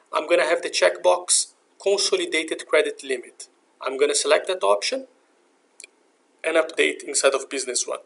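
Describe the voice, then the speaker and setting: A man narrating a software tutorial as an instructor, explaining methodically step by step. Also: a little high, gravelly. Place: voice-over for a screen recording.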